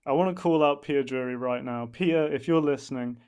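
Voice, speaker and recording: A man's voice: mid-range voice, fast talker, a little mellow, clear, resonant tone. A man hosting a podcast in casual chat, ranting comically in a sweary, exasperated way. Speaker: podcast host.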